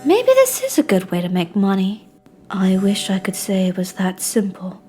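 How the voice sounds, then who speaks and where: A woman voicing a resigned, formal, cryptic servant in amateur period drama, hushed and measured. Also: medium pitch, huge pitch swings, faint music behind. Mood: guarded.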